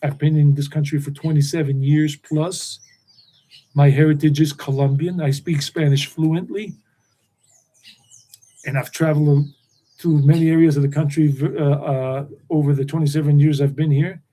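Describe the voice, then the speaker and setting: Casual live-stream host, man, middle-aged, mellow tone, wanders off topic and repeats details. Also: fairly high pitch, flat delivery, normal speed. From United States. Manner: meandering, repeats himself. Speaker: tour guide and channel host. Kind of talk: rambling live stream chat.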